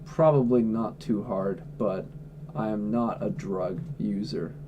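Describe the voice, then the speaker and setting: A man's voice: on the low side, takes it slowly, highs cut off, noisy background. A man livestreaming from home, answering chat questions in a blunt, offhand way and swearing freely. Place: at home indoors.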